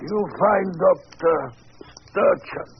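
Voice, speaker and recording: An actor playing a dying foreign man in a 1940s radio drama, pained and pleading in halting broken English. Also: sits high, fairly even intonation. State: in pain, weak. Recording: dull, noisy old radio recording.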